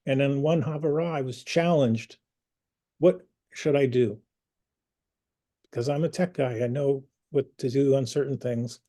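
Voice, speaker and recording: A man hosting an online community meeting, speaking earnestly and personally, pausing with fillers and restarts. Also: mid-range voice, steady tone, mellow. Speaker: community group host.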